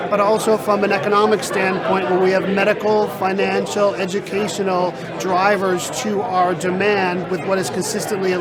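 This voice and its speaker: Flat-toned man with a polished sales pitch. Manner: measured, polished sales talk. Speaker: real estate sales director. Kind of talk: interview at a trade event. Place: busy conference floor. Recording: loud crowd chatter behind. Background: crowd chatter.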